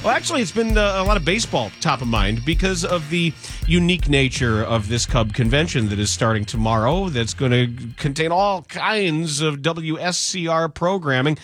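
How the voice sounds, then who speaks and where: A man's voice: fairly high pitch, animated, moderate pace, fairly bright tone, faint music behind. A man hosting a sports radio show, bantering casually, laughing at his own stories and trailing off mid-thought. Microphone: studio microphone.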